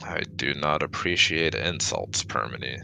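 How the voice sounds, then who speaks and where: A man's voice: very deep voice, expressive, measured pace, creaky, sharp tone, slight background noise, exaggerated voice, rough recording. A man playing a stiff, formal alien scientist in a scripted ASMR roleplay, clinical and robotic in manner. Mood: reserved. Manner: formal, robotic, avoids contractions.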